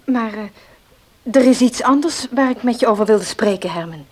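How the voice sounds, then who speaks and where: An actress in a Dutch radio play playing a devout, gentle farmer's wife, soft-spoken and earnest. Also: female voice, on the high side, lively intonation, normal speed. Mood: concerned.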